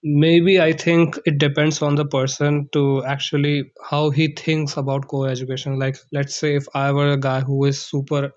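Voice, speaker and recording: Flat-voiced man on a muffled call. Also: fairly high pitch, no echo. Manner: thoughtful, pauses to find words. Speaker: conversation partner. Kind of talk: casual conversation practice.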